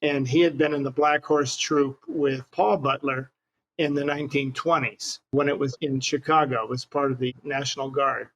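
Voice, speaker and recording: A man's voice: medium pitch, steady tone, normal speed, a bit bright, poor audio. An older man from Hawaii with an American accent, casually reminiscing as an interview guest. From Hawaii, United States.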